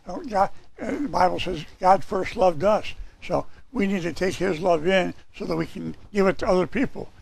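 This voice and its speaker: Hoarse older preacher with a gravelly voice. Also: male voice, sits high, moderate pace, darkish tone. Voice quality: raspy, gravelly. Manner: gesturing, reading scripture aloud from papers. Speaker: pastor. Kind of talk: sermon. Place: small church hall with red curtains.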